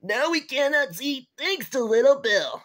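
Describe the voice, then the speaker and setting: A woman's voice: high voice, very animated, measured pace. A woman voicing an indignant, tattling young schoolboy in an amateur cartoon. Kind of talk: amateur animated video dialogue.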